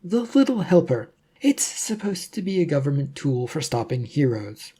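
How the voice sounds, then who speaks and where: Hapless, evasive man for an audiobook, acted by a narrator; hesitant, defensive, mellow tone. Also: medium pitch, sing-song, moderate pace, breathy edge. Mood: exasperated. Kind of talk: audiobook character voice.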